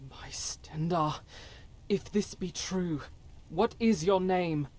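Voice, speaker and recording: An actress voices an earnest warrior of faith in a fantasy game. She speaks slowly in a slightly low voice, formal and solemn, with halting phrases that drop into whispers. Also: darkish tone, slight background noise. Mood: wary, disbelieving. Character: devout warrior woman, guilt-ridden, earnest, solemn. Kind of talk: dramatic video game mod voice acting.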